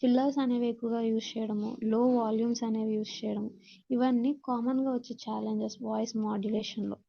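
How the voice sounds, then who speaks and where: Telugu woman lecturing, muffled creaky voice. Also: on the high side, flat delivery, quick pace, dry recording. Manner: instructional, mixes Telugu with English terms. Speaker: teacher. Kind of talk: classroom lecture reading out points and explaining them.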